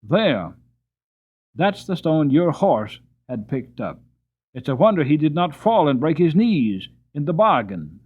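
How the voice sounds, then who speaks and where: A man's voice: fairly high pitch, sing-song, measured pace, slightly rough, pitched above the performer's own voice, exaggerated voice. A man voicing a kindly but firm farmer who speaks politely and with emphasis. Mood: concerned. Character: kindly, firm middle-aged male farmer. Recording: tinny phone-like audio.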